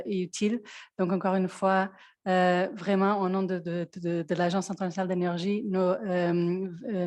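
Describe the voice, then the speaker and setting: Portuguese-native woman wrapping up a video call, flat even voice, dry sound. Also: lowish voice, no echo. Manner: hesitant, many fillers. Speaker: webinar moderator. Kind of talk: closing remarks.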